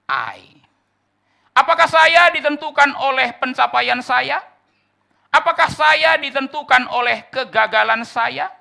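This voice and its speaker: A male pastor preaching an expository sermon, addressing the congregation directly, swinging from loud declarations to soft asides. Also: very high-pitched, vocal fry. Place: church service.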